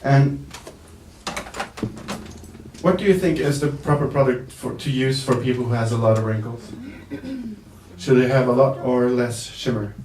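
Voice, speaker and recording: Guy makeup artist demoing for press, slow n hesitant, echoey room, bit of hiss. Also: mid-range voice, fairly even intonation. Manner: slow, hesitant, joking, many fillers. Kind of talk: live makeup demonstration for press. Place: indoor press event room. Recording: echoey room, mic far off, faint noise.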